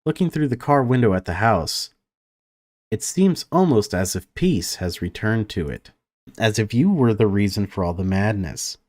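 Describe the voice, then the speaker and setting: A man playing a horror visual novel, reading its text aloud and breaking off into surprised reactions. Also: lowish voice. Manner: reads game text aloud, breaks off into excited surprised outbursts. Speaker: let's play gamer.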